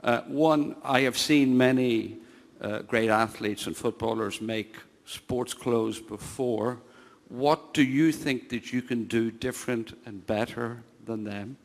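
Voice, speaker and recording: A man's voice: medium pitch, fairly even intonation, slow, creaky. A man, a journalist in the audience, asking questions in a friendly, joking manner. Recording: long reverb of a large hall.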